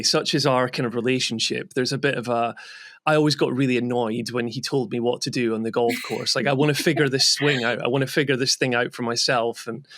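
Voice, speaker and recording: A man's voice: medium pitch, a bit bright, dry recording, studio quality. A man hosting a podcast, asking long, enthusiastic questions that wander and restart. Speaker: podcast host. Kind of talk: casual podcast interview.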